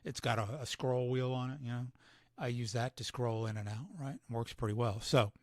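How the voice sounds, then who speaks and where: A man giving a casual live software tutorial, thinking aloud with fillers, his voice starting to go. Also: medium pitch, fairly even intonation, moderate pace, no echo. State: losing his voice. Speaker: photographer demonstrating editing software.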